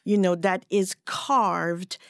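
Measured woman, French native. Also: lowish voice, fairly even intonation, vocal fry, no room sound, clean studio sound. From Switzerland. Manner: thoughtful, measured, with pauses and fillers. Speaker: guest, cookbook writer. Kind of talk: podcast interview.

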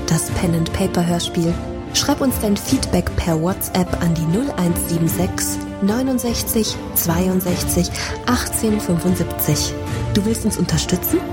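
A woman reading a scripted podcast outro as its announcer. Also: fairly low pitch. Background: loud music.

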